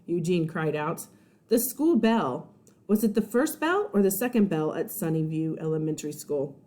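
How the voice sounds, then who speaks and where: Mellow, animated woman reading to children. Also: lowish voice, normal speed. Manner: animated, explains and checks in with young listeners. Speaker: first-grade teacher reading aloud. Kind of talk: expressive children's storybook read-aloud with asides.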